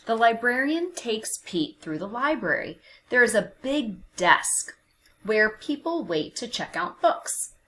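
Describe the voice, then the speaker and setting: A woman narrating a picture book for young children, expressive and deliberate, with dramatic emphasis and hushed phrases. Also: a little high, a little hiss or hum. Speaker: children's read-aloud narrator.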